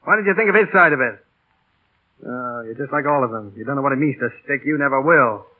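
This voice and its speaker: An actor in a vintage radio drama playing a hard-boiled, stubborn flying boss who is curt and impatient. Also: male voice, medium pitch, fast, close to an everyday voice. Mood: stern. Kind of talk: 1930s-40s radio drama acting. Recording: tinny, narrow vintage radio recording.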